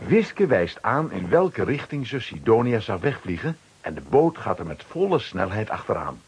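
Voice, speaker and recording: A man narrating a scripted children's radio play as its storyteller, with a husky voice. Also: fairly low pitch, big pitch swings, normal speed, slight background noise.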